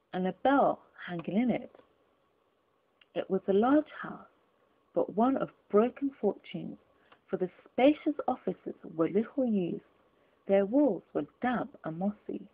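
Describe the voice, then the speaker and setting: A woman reading classic literature aloud as an audiobook narrator, in an expressive, deliberate storytelling manner. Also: medium pitch, phone-quality sound. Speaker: audiobook reader.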